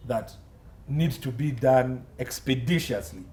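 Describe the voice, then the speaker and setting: A man's voice: medium pitch, measured pace, a little mellow. A man with a Ugandan accent speaking as an expert guest in an interview, emphatic and repeating phrases for stress. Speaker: guest, entertainment law consultant. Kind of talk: interview commentary. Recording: distant mic with faint background noise.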